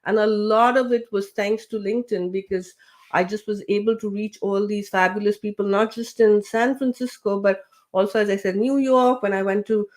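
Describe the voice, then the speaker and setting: Woman chatting away in an interview, lots of "you know" and restarts, mid-pitched voice. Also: fairly even intonation, normal speed, darkish tone. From grew up with British English. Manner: chatty, many fillers and restarts. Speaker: guest, LinkedIn trainer and coach. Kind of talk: casual interview talk.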